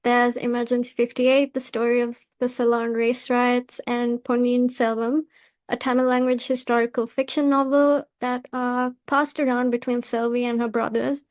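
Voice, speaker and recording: A woman hosting a podcast, reading out prepared interview questions in a measured, formal, carefully worded manner. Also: a little high, flat delivery, dry recording. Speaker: podcast host, magazine editor.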